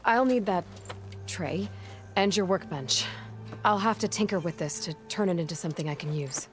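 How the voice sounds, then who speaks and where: Game cast: a woman as a young hunter, lowish voice, direct and practical. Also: moderate pace, faint music behind, lightly acted. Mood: curious. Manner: direct, matter-of-fact.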